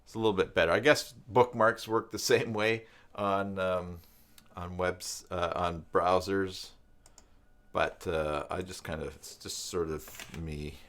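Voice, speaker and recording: A man hosting an informal screen-share tutorial, thinking aloud and reading out documentation in a halting, self-interrupting way. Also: low-pitched, fairly even intonation, fairly bright tone. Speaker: live stream host giving a web tutorial. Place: at a desk by a computer.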